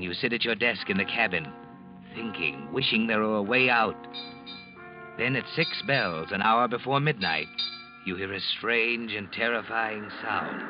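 A man acting as an ominous, accusing narrator in a 1940s radio mystery, speaking to the guilty in a knowing, deliberate way. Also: fairly low pitch, natural voice. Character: ominous all-knowing male storyteller taunting the guilty. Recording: dull old 1940s radio recording, music behind.

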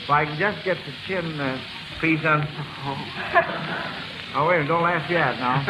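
High-voiced man hosting crackly old radio quiz. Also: measured pace. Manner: chuckling, fumbling, schoolmasterly banter. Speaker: quiz show host. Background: steady loud hiss and crackle.

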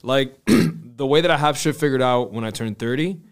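Clear-voiced American man chatting candidly. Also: mid-range voice, moderate pace. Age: early 30s. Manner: candid, swears casually. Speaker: podcast host. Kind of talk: casual conversational chat.

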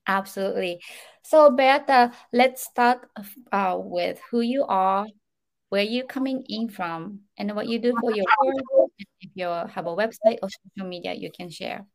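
A woman's voice: mid-range voice, measured pace, creaky, low-quality recording. A woman hosting a podcast interview, opening the show with formal, scripted introductions. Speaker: podcast host.

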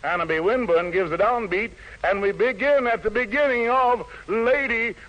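A man's voice: high voice, moderate pace, fairly bright tone, muffled recording. An American man hosting a 1940s radio show for troops in showy, playful jive patter, on a vintage recording. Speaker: radio show host, master of ceremonies.

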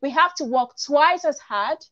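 Woman, straightforward and opinionated, fairly high voice, dull lo-fi stream audio. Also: lively intonation, moderate pace, fairly bright tone. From Nigeria. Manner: direct, opinionated, lots of "like". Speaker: guest panelist. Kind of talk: live online discussion.

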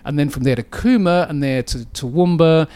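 An Australian man in his fifties, a radio DJ telling animated, self-deprecating stories about his career in a relaxed interview in a radio studio. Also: fairly high pitch, moderate pace. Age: 50s. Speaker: radio DJ guest. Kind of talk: casual interview storytelling.

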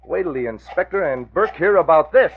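A gruff police guard in a 1940s radio play. He talks fast and bluntly in a husky, excitable voice that swoops around, heard through a tinny, narrow old recording. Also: on the high side, music in the background. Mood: excited. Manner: blunt, slangy cop talk.

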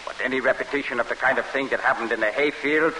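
An actor playing a stern, menacing Nazi camp commandant in 1940s radio style. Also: male voice, medium pitch, fairly even intonation, fast talker, sharp tone, natural voice, low-quality recording. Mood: cold, threatening. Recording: hissy vintage radio broadcast recording.

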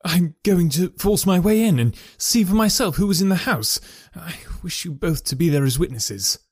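A man voicing an agitated, anxious Victorian husband who speaks urgently and forcefully in a raised voice. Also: sits high, expressive, moderate pace, slightly rough, no echo, clean studio sound, voice pushed up.

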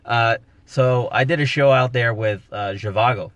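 A man's voice: medium pitch, moderate pace, fairly bright tone, no room sound. A man, a comedian and podcast host, telling a rambling story with casual swearing. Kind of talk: casual chat.

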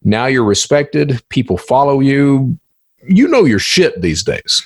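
A man's voice: medium pitch, sing-song, unhurried, no room sound, studio quality. A man hosting a podcast and putting questions in a conversational interviewing style.

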